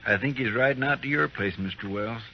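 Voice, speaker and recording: An actor as a fast-talking, earnest frontier townsman. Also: mid-range voice, natural voice. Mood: urgent. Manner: hurried, deferential. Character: earnest frontier townsman bringing urgent word to marshal. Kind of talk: old-time radio western acting. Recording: muffled, noisy vintage radio recording.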